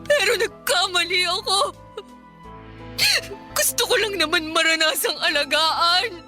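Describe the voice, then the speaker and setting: A high-voiced, bitter, exhausted young mother. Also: measured pace, sharp tone, heavily acted. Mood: tearful. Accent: Filipino. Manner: tearful, reproachful, emphatic. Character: embittered, exhausted live-in partner and young mother leaving. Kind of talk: Filipino radio drama acting. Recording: music bed under the voice.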